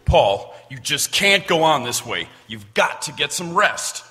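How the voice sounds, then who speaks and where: An actor playing an anxious, pleading colleague, alarmed and melodramatic in old-time radio drama style. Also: male voice, a little high, big pitch swings, a little slow, over music. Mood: worried, pleading. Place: theater stage. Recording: echoey theater stage.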